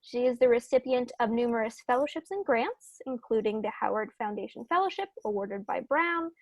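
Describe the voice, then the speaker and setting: A woman with a fairly high voice and clear vocal fry hosts an online author event. She sounds informal and matter-of-fact, and the sound is close and dry. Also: expressive, brisk, dry recording, lo-fi sound. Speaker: bookstore event host. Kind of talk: introducing a virtual author event. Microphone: video call.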